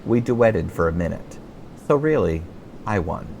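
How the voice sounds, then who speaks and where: Man, middle-aged, American accent, wry audiobook storyteller, lively swooping intonation, faint rumble behind. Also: measured pace. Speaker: author reading own memoir. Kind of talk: audiobook narration of a humorous memoir.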